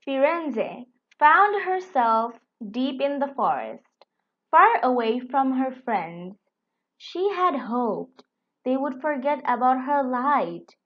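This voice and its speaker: A woman reading a children's picture book aloud in an expressive, animated storytelling style. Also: high voice, muffled recording. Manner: deliberate, expressive delivery.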